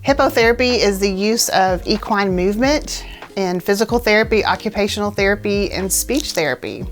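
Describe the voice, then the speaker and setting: A woman introducing herself as a speech pathology professor in a clear, composed way. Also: mid-range voice, brisk. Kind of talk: scripted self-introduction.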